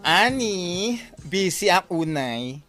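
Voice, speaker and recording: A woman's voice: lowish voice, very animated, takes it slowly, music in the background. A woman playing a busy office boss handing out errands in an Ilocano radio drama, with a Filipino Ilocano accent. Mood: coaxing.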